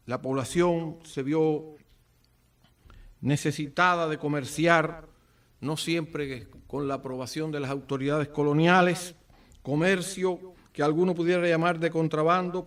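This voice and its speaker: A Cuban man with a Cuban accent giving a formal commemorative speech, solemn and rhetorical, with deliberate phrasing and dramatic pauses. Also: fairly high pitch. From Cuba. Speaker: speaker at a book presentation. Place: event at an office venue. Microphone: far from the speaker. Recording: distant microphone.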